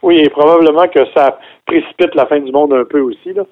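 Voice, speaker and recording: A man's voice: a little high, a little fast. Chatty Québécois man on a phone line. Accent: Québécois French. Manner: chatty, explains with a joking aside. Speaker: car reviewer guest. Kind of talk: casual radio chat.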